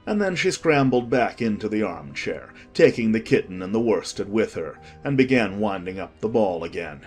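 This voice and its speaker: A man narrating a children's audiobook in an expressive, measured storytelling manner. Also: fairly low pitch, husky, soft background music.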